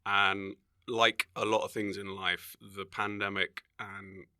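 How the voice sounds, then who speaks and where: This is a man with a fairly low voice that has a sharp, bright edge. He talks like a comedian guesting on a podcast. His delivery is casual and wry, full of banter, and he laughs easily. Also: flat delivery, takes it slowly, clean tone.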